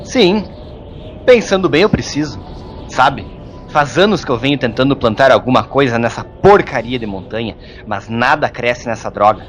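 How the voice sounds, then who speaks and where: A man's voice: mid-range voice, expressive, normal speed, faint music behind, close to an everyday voice. A man acting a grumpy, sarcastic hermit monk. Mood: sarcastic, grumbling. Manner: gruff, mocking, colloquial. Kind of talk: audio drama acting.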